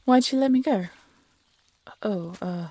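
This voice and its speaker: A woman's voice: medium pitch, measured pace, dark tone, pitched above the performer's own voice. A woman playing a guarded, defiant peasant huntress in a fantasy audio drama, casual and sardonic. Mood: wry, guarded. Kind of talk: fantasy audio roleplay acting.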